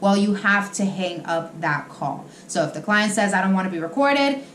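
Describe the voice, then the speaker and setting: A woman's voice: medium pitch, moderate pace, fairly bright tone. A woman hosting an explainer, answering common questions in an instructive, semi-scripted way straight to the viewer. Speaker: host, insurance industry advisor answering agent questions. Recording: roomy echo, faint background noise.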